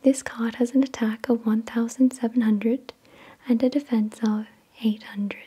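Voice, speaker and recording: A woman whispering in a breathy, unvoiced voice, reading in a gentle, measured ASMR style. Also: on the high side, fairly even intonation. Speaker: ASMR host. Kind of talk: whispered ASMR card unboxing, scripted reading.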